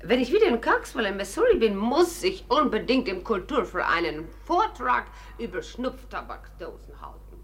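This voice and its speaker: A woman's voice: mid-range voice, very animated, moderate pace, few pauses, a bit bright. An actress in a 1950s German radio play voicing an effusive, chatty and bold tourist lady. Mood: gushing. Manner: effusive, theatrical. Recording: noisy old radio recording.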